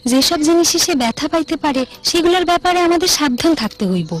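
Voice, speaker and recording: A woman's voice: very high voice, lively intonation, fast. A woman playing a careful, protective village mother in a cartoon, with a rural Bangladeshi accent. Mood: concerned. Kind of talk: animated cartoon acting.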